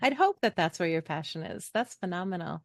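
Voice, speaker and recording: A woman's voice: on the low side, animated, brisk, vocal fry, dry recording, clean studio sound. A middle-aged American woman hosting a podcast in a casual, chatty, joking way. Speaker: podcast host.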